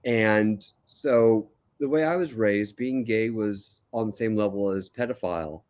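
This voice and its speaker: An older man, a calm and relaxed guest, telling his life story in a casual interview. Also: on the low side, measured pace.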